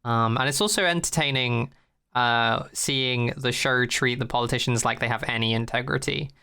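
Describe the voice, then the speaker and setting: A young man on a casual podcast, speaking in a clear, crisp voice. He is blunt and sarcastic, swearing offhandedly and mocking what he watched. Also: medium pitch, fairly even intonation, moderate pace, sharp tone. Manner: blunt, sarcastic, swears casually, mocks the show with exaggerated quotes. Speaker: podcast co-host. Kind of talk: casual chat.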